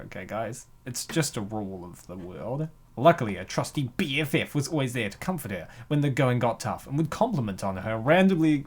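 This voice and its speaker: A man narrating a comic dub with playful, slangy joking asides. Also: medium pitch.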